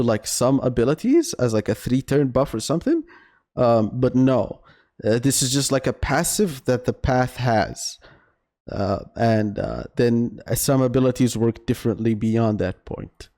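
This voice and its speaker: A man streaming live, reacting to his own video, talking in a rambling, excitable way with many fillers and restarts while he loses his voice. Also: medium pitch. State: losing his voice.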